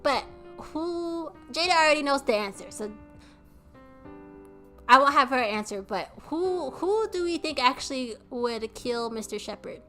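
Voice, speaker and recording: A woman's voice: high voice, expressive, unhurried, pushed and bright, faint music behind. A woman streaming a game, chatting casually with her chat in a bubbly, giggly way. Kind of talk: casual chat with live chat while playing a game.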